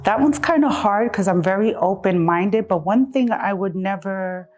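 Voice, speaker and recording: A woman from Jamaica, giving casual, animated and emphatic interview answers as a music artist. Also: medium pitch, normal speed. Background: background music.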